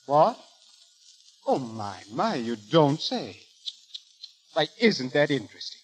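An actor playing a fussy, flustered assistant to a rich matriarch in a 1930s radio comedy, with an excitable manner. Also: male voice, on the high side, slow pace, poor audio. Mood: eager, fussy. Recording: hissy 1930s radio broadcast.

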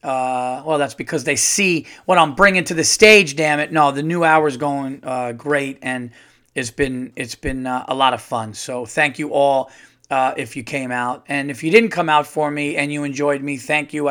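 A middle-aged man, a comedian hosting his own podcast, chatting casually and rambling with lots of fillers, his voice a little hoarse from touring. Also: medium pitch, fairly bright tone. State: slightly hoarse, losing his voice. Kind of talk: casual solo podcast monologue.

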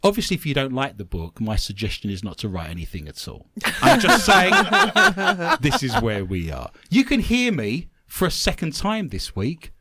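A man from London with an English accent, hosting a radio talk show in a chatty, animated way and laughing often. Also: mid-range voice, moderate pace, a little hiss or hum. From London, England.

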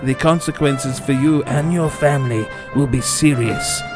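Menacing foreign villain played by a male reader, mock German accent, pitched up and loud, theatrical threats, music behind. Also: a little slow, lightly acted. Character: menacing heavily accented villain demanding blueprints.

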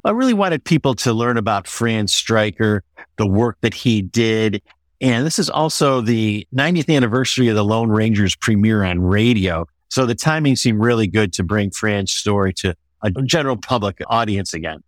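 A middle-aged or older American man answering interview questions as an author, in a measured, explanatory way. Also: lowish voice, expressive, dry recording, studio quality.

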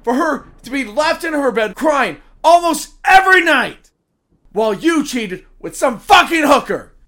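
An actor as a wounded, resentful young man in an audio drama. His voice is very high and piercing, swinging widely in pitch as he lays out his grievances. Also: very animated, measured pace, pushed and bright, heavily acted. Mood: furious, accusing. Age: young adult. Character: bitter grieving young man, furious grown son.

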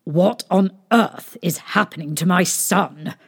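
A woman's voice: mid-range voice, quick pace, breathy edge. A woman voicing a despairing, gullible king and sorrowful father from a storybook fairy tale, in a plaintive manner.

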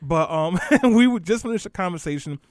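A man co-hosting a casual news chat, talking at a rapid clip with a somewhat high voice. The sound is dry and close, with no room behind him. He jumps between tangents. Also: dry recording. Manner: fast, animated, tangent-prone. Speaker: podcast co-host.

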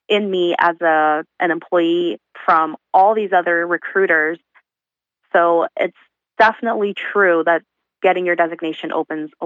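A woman's voice: fairly low pitch, a bit bright, no room sound, muffled recording. Dry-sounding woman guest on a remote call. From Uzbekistan. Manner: thoughtful, pauses with fillers. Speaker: guest, accounting professional. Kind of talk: casual remote interview. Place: her home. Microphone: remote call from home.

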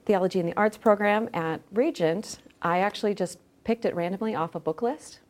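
A woman's voice: mid-range voice, fairly quick, a little mellow. A middle-aged woman speaking as a scholarly guest in a thoughtful podcast interview. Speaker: guest, theology scholar with drama background.

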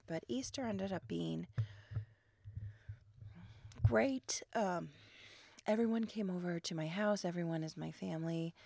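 A woman in her grandmother years hosts her podcast alone at dawn, her voice heavily gravelly and froggy as if not yet awake. She sits on the lower side of pitch and rambles casually, filler words between thoughts, some phrases fading into a breathy near-whisper. The sound is dry and close, with no room around it. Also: warm tone, no room sound. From Chicago suburbs. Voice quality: froggy morning voice. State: not fully awake, early morning. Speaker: podcast host. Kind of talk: casual solo podcast monologue.

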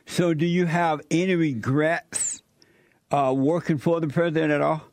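A man's voice: a little high, steady tone, darkish tone, dry recording. Guy asking interview questions, short affirmations, dry close sound. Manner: brief affirmations, measured questions.

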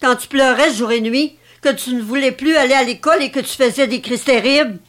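A woman's voice: high-pitched, a little fast, a bit bright. An actress playing an elderly, possessive mother, theatrical and self-pitying, in a dramatic script reading. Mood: wounded, reproachful. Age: older. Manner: theatrical, reproachful, martyr-like.

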